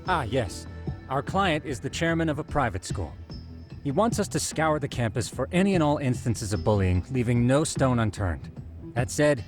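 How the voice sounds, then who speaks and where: An actor playing a precise, lecturing detective who explains facts formally in a video game. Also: male voice, medium pitch, very animated, moderate pace, soft background music, lightly acted.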